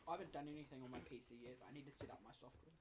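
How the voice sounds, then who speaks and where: A man's voice: mid-range voice, rapid-fire. A young man chatting casually on a podcast, playful and self-mocking. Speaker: podcast co-host. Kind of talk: casual chat with friends.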